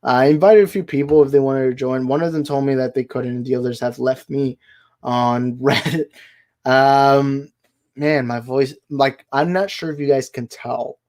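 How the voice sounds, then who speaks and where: A man hosting a casual live movie chat, his voice hoarse and worn out, rambling and correcting himself as he goes. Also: mid-range voice, steady tone, moderate pace, darkish tone. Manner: rambling, self-correcting, laughs easily. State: hoarse, tired voice. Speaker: livestream host.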